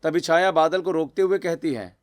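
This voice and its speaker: A man narrating a scripted family story for an animated video. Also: on the high side, normal speed.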